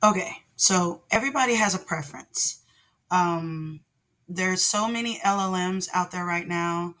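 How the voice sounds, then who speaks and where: A woman hosting a casual tutorial vlog, hoarse from laryngitis, with a naturally deep voice and a rambling way of talking full of ums. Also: a little slow, a bit bright, poor audio. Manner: rambling, with many ums and restarts. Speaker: channel host, product designer.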